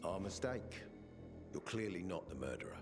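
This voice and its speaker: A stern, deep-voiced peacekeeper officer. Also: male voice, sing-song. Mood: commanding. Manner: firm, measured, commanding. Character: stern male peacekeeper officer, authoritative and calculating. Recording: game cutscene with music bed.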